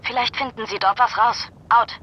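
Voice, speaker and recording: A woman's voice: on the high side, steady tone, moderate pace, highs cut off. An actress playing a cool female military radio operator in a video game, clipped and dryly teasing, heard over a radio. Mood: brisk. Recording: noisy military radio link.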